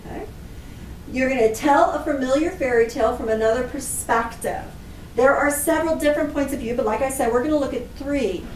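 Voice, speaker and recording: High-pitched woman teaching in an echoey room. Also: brisk. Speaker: library class instructor. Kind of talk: instructional lesson to children, explanatory. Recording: echoey room, distant mic, faint noise.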